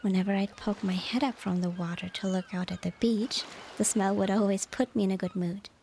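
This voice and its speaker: A woman plays a devoted, nostalgic mermaid wife in a romantic ASMR audio drama. Her medium-pitched voice is soft and unhurried, often near a whisper, with a creaky edge. Faint ambient noise sits behind her. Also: fairly even intonation, close to an everyday voice. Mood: wistful, homesick. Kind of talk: ASMR romantic roleplay, softly spoken.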